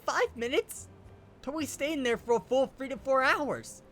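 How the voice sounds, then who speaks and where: A man voicing a flustered, easily rattled teenage boy who stammers and turns shrill when upset, in a fan-dubbed radio drama. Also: normal speed. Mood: puzzled. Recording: soft music behind.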